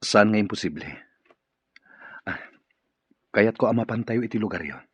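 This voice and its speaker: A man's voice: lowish voice, normal speed, a bit bright, breathy edge, no echo, dull audio. A man acting as an earnest, courteous male boss in an Ilocano radio drama. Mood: earnest, tender.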